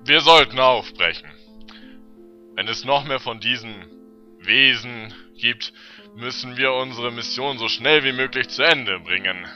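Forceful, resolute male adventurer, acted. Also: mid-range voice, a little slow, lo-fi sound, soft background music.

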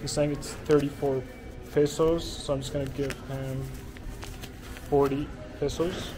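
A man's voice: mid-range voice, little pitch movement, a little slow, music in the background. A young man talking to a handheld camera as he walks through city streets, rambling with lots of fillers. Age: young adult. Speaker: travel vlogger. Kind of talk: casual walking vlog to camera. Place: outdoors on busy city streets. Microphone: action camera held at arm's length. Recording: street noise behind the camera. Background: traffic and city ambience.

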